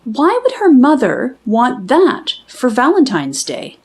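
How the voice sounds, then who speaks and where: A woman reading a children's storybook aloud as an expressive narrator. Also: high-pitched, slow pace, slightly rough.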